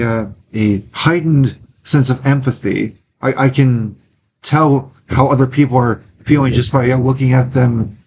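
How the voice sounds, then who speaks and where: A young man from Wisconsin, an interview guest, talking earnestly in a halting way with many pauses and restarts. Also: mid-range voice, darkish tone, slight background noise, low-quality recording. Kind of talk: casual interview talk.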